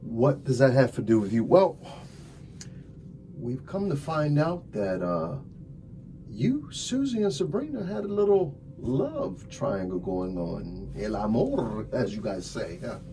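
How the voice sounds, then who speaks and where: A man playing a calm, methodical, sardonic police detective in an ASMR roleplay, talking deliberately with drawn-out phrasing and wry asides. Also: medium pitch, slight background noise. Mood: pressing, sardonic. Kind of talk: slow ASMR roleplay acting. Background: music.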